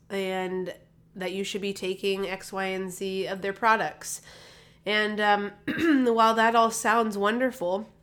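A woman's voice: mid-range voice, a bit bright. A woman hosting her own health podcast, talking casually with lots of fillers and restarts, a little nasal from a recent cold. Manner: chatty, rambling with many fillers and restarts. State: slightly nasal, recovering from a cold. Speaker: podcast host, holistic health practitioner. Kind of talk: casual solo podcast talk. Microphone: podcast microphone.

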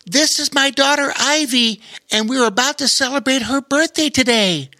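A man's voice: very high voice, normal speed, pushed and bright, voice pushed up. A man voicing a proud mother in a children's story. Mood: prompting. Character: tall mother, warm and proud.

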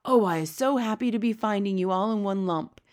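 A woman's voice: mid-range voice, animated, normal speed, lightly acted. A woman voicing a kindly, innocent male giant in a storybook, earnest and exclaiming in muddled grammar. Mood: tender dismay. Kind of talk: storybook character reading.

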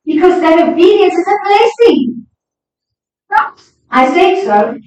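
A woman's voice: very high voice, expressive, slow, darkish tone, slight background noise. A woman preacher delivering an emphatic, exhorting sermon to a congregation. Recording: echoey hall, distant, slightly dull sound.

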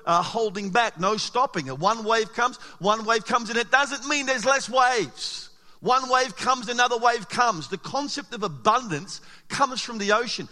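A man preaching a sermon as pastor, animated and emphatic, asking the congregation rhetorical questions, his voice a little raspy. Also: sits high. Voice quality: slightly raspy. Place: church hall. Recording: long hall reverb.

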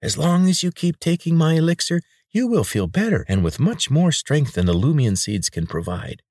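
A man voicing an oily, pudgy male healer with a nasal, squeaky, wheedling voice. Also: very animated, moderate pace, darkish tone, no echo, studio quality.

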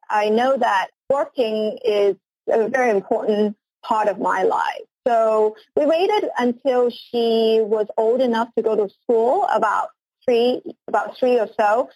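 A woman's voice: fairly high pitch, slow, a little mellow, dry recording, low-quality recording. A woman in her forties from Hong Kong, a company CEO answering interview questions earnestly, often repeating her first words. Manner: earnest, eager, repeats words when starting sentences.

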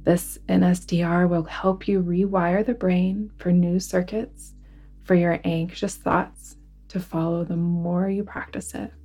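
A woman guiding a relaxation meditation in a soothing, deliberate manner, with phrases that sometimes fall to a hush. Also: fairly low pitch, level tone. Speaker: yoga nidra guide. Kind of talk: guided relaxation meditation narration.

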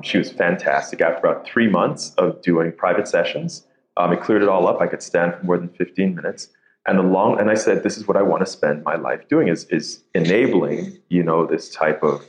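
Guy from nigeria and the bahamas, super deep voice, roomy echo, chatty and self-deprecating. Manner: self-deprecating, laughs easily, repeats words when starting. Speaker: podcast guest, tech founder. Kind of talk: casual interview storytelling.

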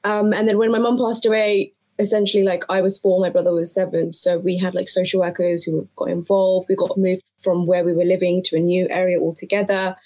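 A woman's voice: on the low side, little pitch movement, fairly quick, hardly any pauses, darkish tone. Young woman, slightly dull recording. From London, UK. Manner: informal, peppered with "like" and "you know". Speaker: podcast guest. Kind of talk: casual personal storytelling. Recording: dull lo-fi sound.

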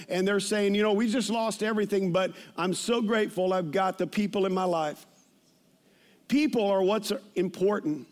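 A man in his fifties preaches in a notably high-pitched voice. His delivery is informal and conversational, like someone telling personal stories from the pulpit, and he often repeats phrases to drive them home. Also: a little mellow. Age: 50s. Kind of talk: conversational sermon with personal anecdotes.

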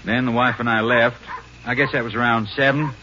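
Actor playing an evasive, bright-voiced rancher. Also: male voice, medium pitch, fairly even intonation, fairly quick, lightly acted. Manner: hesitant, folksy answers. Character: nervous, evasive middle-aged rancher. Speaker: Ben Purvis. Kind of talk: 1950s radio drama acting. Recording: dull old radio recording with faint hiss.

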